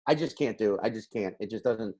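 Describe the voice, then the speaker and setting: A man's voice: lowish voice, quick pace, darkish tone. A man co-hosting a podcast, chatting casually and joking with plenty of swearing and laughter. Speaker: podcast co-host. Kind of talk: casual chat on a video call. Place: home in a city apartment.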